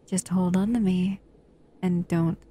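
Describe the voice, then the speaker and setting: A woman voicing a doting, seductive female shadow monster in an intimate ASMR roleplay, breathy and soothing, often whispering. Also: fairly low pitch, fairly even intonation, slow, dark tone. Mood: hushed, conspiratorial.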